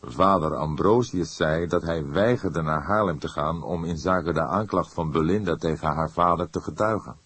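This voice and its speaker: Man playing a deep, measured police inspector. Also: fairly bright tone, slightly rough, dry recording, full character voice. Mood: reasoning. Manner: measured, deliberate. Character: seasoned older male police inspector, thoughtful, measured, wry. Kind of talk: audiobook character acting.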